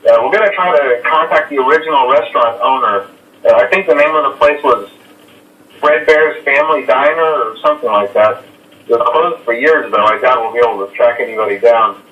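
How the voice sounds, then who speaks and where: A man's voice: mid-range voice, brisk, far from the mic, natural voice. A man playing a hesitant phone caller who leaves rambling, reassuring messages full of "uh" fillers. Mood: uneasy. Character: nervous male night-guard phone caller. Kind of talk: recorded game voice lines. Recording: muffled echoey phone-message recording.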